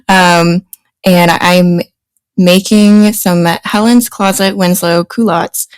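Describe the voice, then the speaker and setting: Lower-voiced woman podcast co-host. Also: no room sound. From New Jersey, US. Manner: friendly, reads intro script then chats with fillers. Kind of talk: casual podcast chat.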